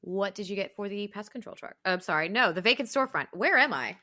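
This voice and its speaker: A woman's voice: medium pitch, fast, dry recording. A woman in her thirties with a Southern accent, co-hosting a podcast in chatty, casual talk. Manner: chatty, animated, swears casually. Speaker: podcast co-host. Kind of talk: casual chat.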